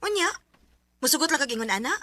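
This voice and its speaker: An actress playing a frank, scolding confidante in a Philippine radio drama, speaking forcefully with a Bisaya Filipino accent. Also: female voice, high voice, animated, fast talker, no room sound.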